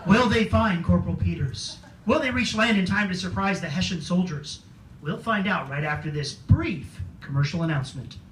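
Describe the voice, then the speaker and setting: A man's voice: sits high, animated, moderate pace, background noise. A man playing a suspenseful, dramatic announcer of an old-time radio serial parody. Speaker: announcer, narrator. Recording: distant echoey stage, music behind.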